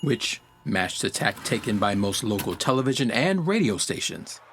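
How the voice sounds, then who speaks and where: A man's voice: fairly low pitch, sing-song, moderate pace, a bit bright, slight background noise. A man acting as an outspoken, sardonic city commentator in an audio play, emphatic and wry.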